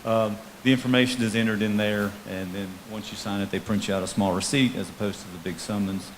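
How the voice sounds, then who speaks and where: Low-voiced male police chief, faint hiss. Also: fairly even intonation, brisk, rough recording. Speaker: police chief presenting to town council. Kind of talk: informal explanatory public presentation. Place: town council meeting room. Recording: faint hiss on meeting microphone.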